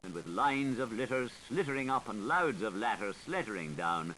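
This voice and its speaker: Expressive male literary narrator, lively swooping delivery. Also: fairly low pitch, rough recording. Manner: expressive, deliberate, playful recitation with dramatic pauses. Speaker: audiobook narrator. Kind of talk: theatrical literary audiobook narration. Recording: 1985 audiobook recording with faint hiss.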